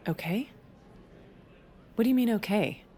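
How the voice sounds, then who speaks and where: An actress playing a poised, cutting president's daughter in an audio drama, sugary and condescending. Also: female voice, on the high side, expressive, unhurried, dark tone. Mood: cool triumph.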